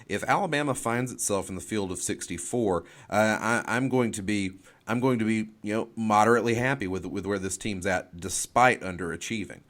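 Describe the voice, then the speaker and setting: A man co-hosting a sports podcast, giving opinions and game picks in casual talk, halting and repeating phrases as he reasons. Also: lowish voice, fairly bright tone.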